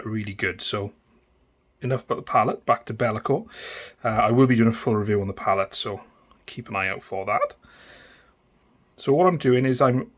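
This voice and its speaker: A man with a thick Welsh accent talking through a painting tutorial in a relaxed, chatty way, with many fillers and restarts. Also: mid-range voice. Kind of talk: casual step-by-step tutorial narration.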